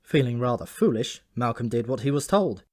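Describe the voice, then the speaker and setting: A man's voice: mid-range voice, animated, brisk. A man reading a scripted comic story aloud as an audiobook narrator.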